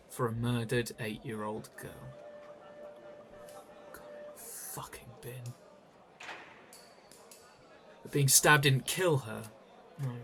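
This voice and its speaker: Audio drama, man playing jailed detective, bitter, sarcastic, accusing, mid-pitched voice, faint background noise. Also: sharp tone.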